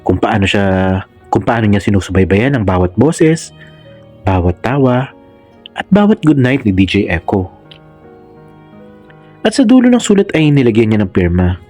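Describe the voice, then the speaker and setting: A Filipino man narrating a scripted romance story in a sentimental, expressive way over music. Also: lowish voice, moderate pace, long pauses. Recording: music under the voice. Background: background music.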